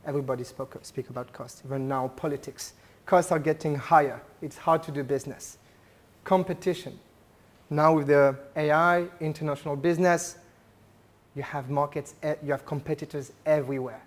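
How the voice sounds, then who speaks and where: A man's voice: on the high side, fairly even intonation, normal speed. A man with a French accent giving a conversational talk to a live audience at a business event, often putting questions to the listeners. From France. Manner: engaging, polls the audience with questions; conversational, frequent "uh" and "right?".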